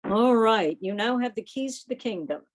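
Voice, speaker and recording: A woman's voice: on the high side, animated, normal speed, rough recording. A woman informally hosting a club meeting over a video call. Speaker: meeting host.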